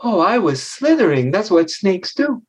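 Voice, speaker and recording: A curious little girl snake played by a man in a storybook tale. The voice is pitched up and lively, a touch husky, with playful, childlike eagerness. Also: normal speed, exaggerated voice. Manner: bright-eyed, childlike, eager.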